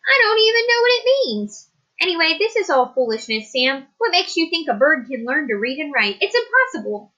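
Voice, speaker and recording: A woman voicing a stern, brisk older schoolteacher who questions sharply and emphatically. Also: sits high, big pitch swings, pushed and bright, muffled recording, voice pushed up, exaggerated voice. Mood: skeptical.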